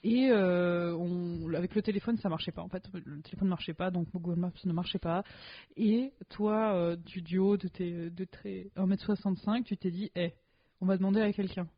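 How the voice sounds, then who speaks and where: A young woman co-hosting a podcast, telling stories in a lively, slangy, joking way. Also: on the low side, normal speed. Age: young adult. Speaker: podcast co-host. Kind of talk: casual chat. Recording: dull lo-fi sound.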